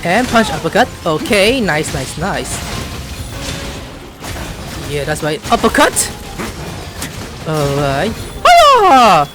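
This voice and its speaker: A man doing playful, excitable gaming commentary, full of exclamations and called-out moves. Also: high voice, slow pace, pauses often. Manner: playful, exclaiming, shouts attack names. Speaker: gameplay YouTuber. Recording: game music behind commentary.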